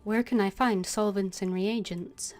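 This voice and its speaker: A woman's voice: mid-range voice, steady tone, measured pace, darkish tone, faint music behind. A woman game streamer voicing her own character's lines in a fantasy role-playing game.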